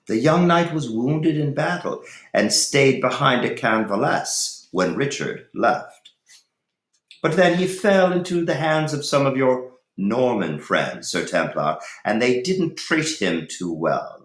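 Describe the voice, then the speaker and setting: Man playing a bold hooded pilgrim, animated, echoey. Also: mid-range voice, pitched above the performer's own voice. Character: bold, loyal hooded male pilgrim, quietly defiant. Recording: roomy, echoey room.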